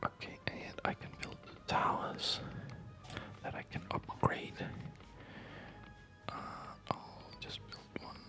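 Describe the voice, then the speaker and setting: A gamer delivers ASMR commentary in a breathy whisper, close to the microphone. The delivery is slow and musing, as if thinking aloud. Music plays clearly behind the voice. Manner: soft, unhurried, musing aloud. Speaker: video gamer narrating own play.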